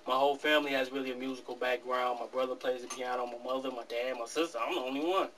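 Eighteen-year-old male rapper, quick and earnest, recorded off-mic in a small room. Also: mid-range voice, fairly even intonation, a bit bright. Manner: fast, earnest, laughs easily. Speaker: rap artist guest. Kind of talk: casual interview. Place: small office studio. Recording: distant camera mic with faint room noise.

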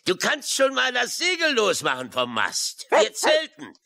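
An actor playing a blustering, excitable seafaring uncle in a German children's radio play, with exclamatory sailor talk. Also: male voice, very high voice, unhurried, vocal fry, exaggerated voice. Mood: grumbling.

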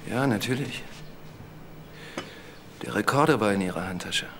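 A man's voice: on the low side, animated, normal speed, a bit bright. Widowed husband, male, defensive, earnest, crime drama, noisy background. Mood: explaining. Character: defensive widowed husband under police questioning. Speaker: actor playing a questioned husband. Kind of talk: German TV crime drama dialogue. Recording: clearly noisy drama soundtrack.

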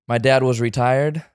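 A man in his thirties from the San Francisco Bay Area, chatting as a guest actor in a relaxed interview, full of fillers and restarts. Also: mid-range voice, creaky, no echo, clean studio sound. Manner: hesitant, many fillers and restarts. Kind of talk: casual interview chat. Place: living room with sofa. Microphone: studio mic on arm.

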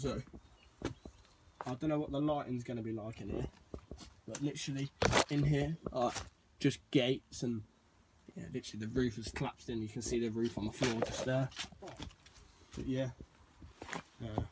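A man's voice: mid-range voice, normal speed, long pauses, heavy background noise. A young man rambles casually as he explores overgrown woods, filmed on a handheld phone outdoors. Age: young adult. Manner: rambling, says "literally" a lot. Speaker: urban explorer vlogger. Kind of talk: casual walking vlog. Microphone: handheld phone camera.

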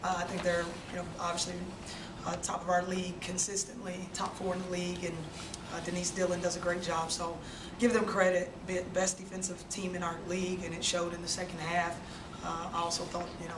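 A woman basketball coach at a postgame press conference, speaking in a subdued, measured way with many fillers, into a desk mic in a press room. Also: lowish voice, level tone. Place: press room with sponsor backdrop. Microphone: desk mic on stand. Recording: roomy, distant, noisy press room audio.

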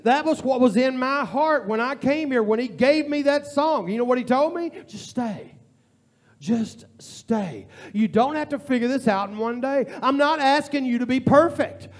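A man preaching a sermon as a pastor, with informal, repetitive phrasing. He builds to an impassioned near-shout and later drops to a quiet prayer. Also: extremely high pitch, animated, moderate pace. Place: church sanctuary.

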